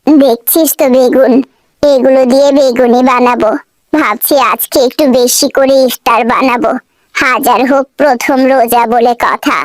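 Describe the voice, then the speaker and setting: A woman's voice: high-pitched, fairly even intonation, normal speed, no room sound, voice pushed down, close to an everyday voice. A woman voicing a stern, exasperated mother with a nagging, reproachful manner in a children's moral cartoon. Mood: dismissive.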